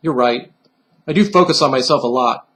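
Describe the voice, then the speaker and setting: A man's voice: medium pitch, moderate pace, fairly bright tone, pitched below the performer's own voice, close to an everyday voice. A man voicing a defensive, sulky young girl with a plaintive manner in a storybook reading. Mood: chastened.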